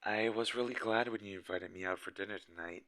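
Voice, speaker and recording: A man's voice: on the low side, brisk, bright, forceful tone, no echo, poor audio. A man playing a shy, smitten game developer on a date in a romantic audio roleplay, speaking nervously and hesitantly straight to the listener. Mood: earnest, nervous. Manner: awkward, nervous, hesitant and stammering.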